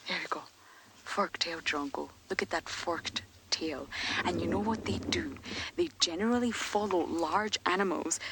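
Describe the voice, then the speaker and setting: A woman safari guide giving soft-spoken, often hushed live commentary from an open vehicle outdoors. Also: fairly low pitch, slight background noise, low-quality recording.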